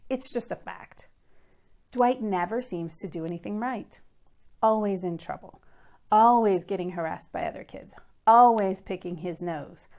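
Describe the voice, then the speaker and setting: A woman's voice: mid-range voice, darkish tone, lightly acted. A woman reading a children's storybook in the first person as an earnest, fretful middle-school boy, with emphatic, dramatic phrasing. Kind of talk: first-person children's book read aloud.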